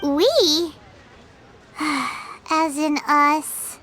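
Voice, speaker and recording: A woman voicing a small, bossy, childlike fairy companion in an anime-style video game, squeaky and easily exasperated. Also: animated, extremely slow, slight background noise, full character voice. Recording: loud music under the voice. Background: game music.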